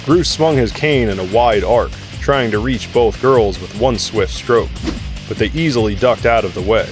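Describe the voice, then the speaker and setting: A man's voice: medium pitch, normal speed. A man reading scripted narration for an audio drama. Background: music.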